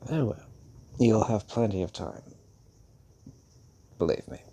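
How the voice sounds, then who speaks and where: An actor playing a centuries-old, fatherly male vampire in an intimate audio roleplay, speaking haltingly and musingly with hums and long pauses. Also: on the low side, animated, creaky, dark tone, breathy edge, full character voice. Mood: fond, reflective.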